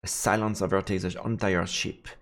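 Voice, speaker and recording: A man's voice: deep voice, level tone, normal speed. A man reading visual novel narration aloud as he streams a let's play. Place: indoors, streaming setup. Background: faint game music.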